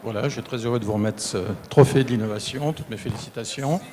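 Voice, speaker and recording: A man presenting an innovation award, speaking in a measured, formal way with some fillers. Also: medium pitch, over music. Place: large exhibition hall. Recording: hall reverb.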